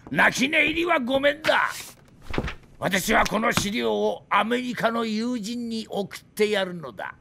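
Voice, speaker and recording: An embittered, drunken old weapons designer in a video game drama. His high-pitched voice has a creaky edge and swings widely as he boasts and rants with theatrical indignation. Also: lively intonation, measured pace, background noise, full character voice. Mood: indignant ranting. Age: older. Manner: theatrical, grandiose, indignant ranting.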